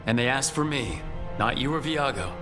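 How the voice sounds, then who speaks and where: An actor playing a cool-headed, wry hero in a video game, speaking in a direct, dry way. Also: male voice, mid-range voice, animated, normal speed, music in the background, natural voice. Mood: composed, questioning. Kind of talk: dramatic video game acting.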